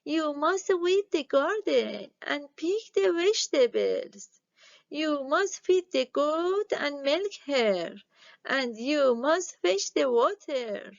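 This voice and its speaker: Storybook old grandma witch, acted by a woman: very high, slow, firm, sing-song spells. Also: animated, vocal fry, lo-fi sound, full character voice. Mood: firm, instructing. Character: old village witch grandmother, strict, magical.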